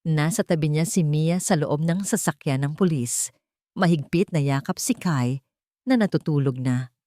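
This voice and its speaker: A woman's voice: low-pitched, normal speed, mellow, no echo, clean studio sound. A woman reading a scripted, dramatic audio story as a storyteller.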